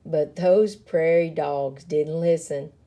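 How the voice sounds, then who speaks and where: A woman reading a children's picture book aloud in a dramatic, expressive way, with deliberate and playful emphasis. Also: low voice. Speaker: story reader.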